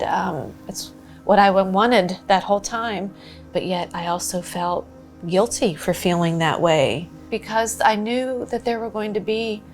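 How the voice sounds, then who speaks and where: A middle-aged woman reflects on her own experience in an interview. Her pitch is average for a woman, and quiet music runs beneath her words. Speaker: survivor's wife interviewed. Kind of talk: reflective personal recollection in a documentary interview. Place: living room, on a couch.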